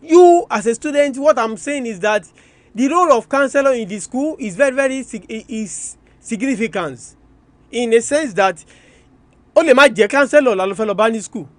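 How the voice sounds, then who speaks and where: A man's voice: very high-pitched, lively intonation, moderate pace, dry recording. A man with a Nigerian Yoruba accent hosting an educational radio talk, speaking emphatically to listeners and repeating his points. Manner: emphatic, repetitive, addresses listeners directly. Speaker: radio programme host. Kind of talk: live educational radio talk, switching between English and Yoruba.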